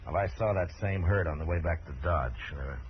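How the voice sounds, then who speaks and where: An actor playing a steady, principled American frontier marshal in an old-time radio drama, speaking tersely with measured authority. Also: male voice, sits low, natural voice. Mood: stern, calm. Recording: dull, noisy old radio recording.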